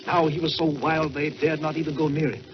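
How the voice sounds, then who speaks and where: Theatrical 1940s radio storyteller, high-pitched man. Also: little pitch movement, noisy background. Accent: American. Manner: theatrical, measured delivery with drawn-out words. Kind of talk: 1940s radio dramatic narration. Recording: dull vintage 1940s radio, music behind.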